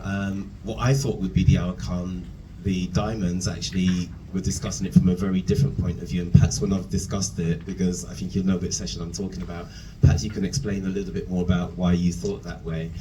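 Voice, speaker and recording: A man, speaking as a mentor to a room, measured with filler ums. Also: low voice, little pitch movement, dark tone, distant mic, low-quality recording. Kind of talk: reflective talk to an audience. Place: room with an audience. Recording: from across a room, room noise.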